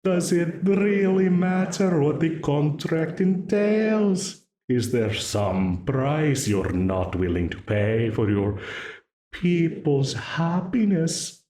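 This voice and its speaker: A man playing a slow, mocking demon. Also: on the high side, big pitch swings, mellow, roomy sound. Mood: menacing, mocking. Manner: slow, taunting, with frequent laughs. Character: dominant scheming male demon, smug and menacing. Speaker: voice actor in an audio roleplay. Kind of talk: audio roleplay acting.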